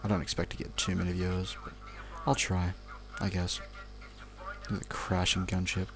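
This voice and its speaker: Young aussie guy doing a lets play, low gravelly voice, kinda muttering and rambling, casual swearing. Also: monotone, normal speed, music in the background. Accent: Australian. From Australia. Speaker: let's play commentator. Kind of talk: casual off-the-cuff video game commentary.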